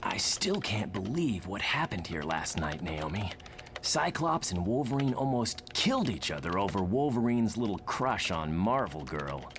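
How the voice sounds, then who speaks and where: An actor playing a gentle, trusting and articulate young mutant scientist in a motion comic, with music behind. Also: male voice, lowish voice, big pitch swings, a little slow, background noise. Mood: earnest. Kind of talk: dramatic motion comic voice acting.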